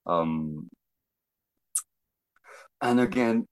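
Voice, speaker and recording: Deep-voiced man from Argentina, halting and reflective. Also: animated, dry recording, poor audio. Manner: halting, reflective, searching for words. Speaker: interview guest. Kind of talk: personal testimony in conversation.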